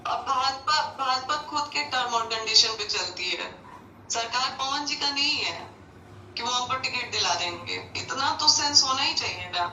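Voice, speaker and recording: A high, bright female voice comes through from far off. She argues insistently and with a sense of grievance, firing rhetorical questions in an echoey, faintly noisy call recording. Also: moderate pace. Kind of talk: phone call, pleading argument.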